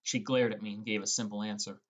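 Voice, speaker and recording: A man's voice: lowish voice, flat delivery, fast. Young man narrating a fantasy audiobook. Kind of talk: scripted first-person fantasy narration.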